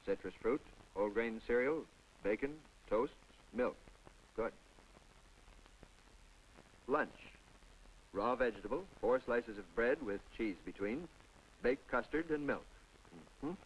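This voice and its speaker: A man's voice: mid-range voice, slight background noise, low-quality recording. A man narrating a scripted 1950s public information film in a folksy, instructive way, with conversational asides to the viewer. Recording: vintage 1950s film soundtrack.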